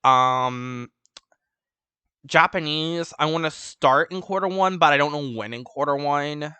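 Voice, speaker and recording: Casual vlog host, male, mid pitch, bright and crisp, dry close sound, hesitant and rambling with lots of ums. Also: unhurried, no room sound. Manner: rambling, full of ums and restarts; enthusiastic about languages. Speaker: language-learning channel host. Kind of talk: casual unscripted vlog update.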